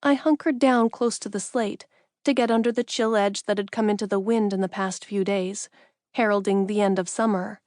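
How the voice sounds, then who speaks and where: A woman's voice: medium pitch, steady tone, moderate pace, a little mellow, no room sound. Woman narrating fantasy, close dry sound. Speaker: audiobook narrator. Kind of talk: scripted first-person fantasy narration.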